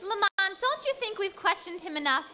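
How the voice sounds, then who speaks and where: A woman's voice: very high voice, expressive, normal speed, bright, forceful tone, a little hiss or hum, full character voice. An actress playing a sympathetic lady companion to a sleuth in an old-time radio mystery. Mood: inquiring. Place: live stage performance at a convention.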